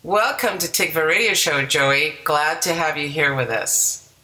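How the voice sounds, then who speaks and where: A woman hosts a radio interview program with a low-pitched voice. She introduces the show and asks her questions calmly, at a measured pace.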